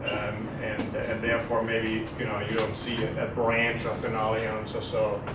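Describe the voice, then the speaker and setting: A man's voice: fairly low pitch, normal speed, over loud music. German guy lecturing a class, lots of uhs, roomy echo, far from the mic. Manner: relaxed, hesitant with many fillers, quizzes audience. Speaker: guest lecturer. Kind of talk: university talk with audience questions. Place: lecture room. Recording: echoey room, distant, noisy.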